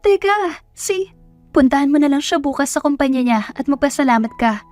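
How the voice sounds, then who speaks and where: A woman's voice: sits high, animated, brisk, a little mellow, no echo, faint music behind, pitched above the performer's own voice. A woman voicing a bossy, bubbly young best friend in an audio drama, pushy and exclamatory. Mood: urging.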